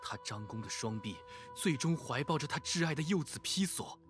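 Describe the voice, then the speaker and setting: A man's voice: on the high side, animated, a little fast, a bit bright, soft background music. A man playing an earnest young hero who addresses an assembly in a video game, solemn and impassioned. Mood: grieving, solemn. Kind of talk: dramatic game oratory in Mandarin.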